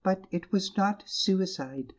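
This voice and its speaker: A man playing a small, gentle priest in an audiobook drama, speaking gravely and earnestly with quiet insistence. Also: high-pitched. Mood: grave, certain. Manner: slow, solemn, earnest.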